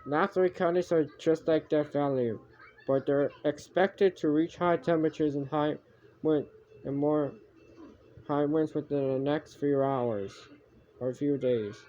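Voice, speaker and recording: A man's voice: on the high side, fairly even intonation, mellow. Muffled man improvising emergency alerts. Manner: halting, repeats and corrects himself. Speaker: amateur narrator of a homemade emergency alert scenario. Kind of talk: improvised mock emergency broadcast announcements. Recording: muffled homemade recording with background noise.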